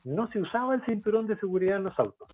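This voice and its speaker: A man from Chile with a Chilean Spanish accent, a guest expert in a webinar interview who explains his points in a lively way and poses rhetorical questions. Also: sits high, fast talker. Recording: tinny phone-like line, faint music.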